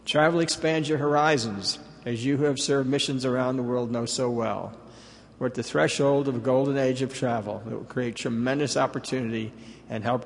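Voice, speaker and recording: A man's voice: medium pitch, creaky. An older man giving a formal, earnest prepared speech as a company executive in a large hall. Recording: reverberant hall.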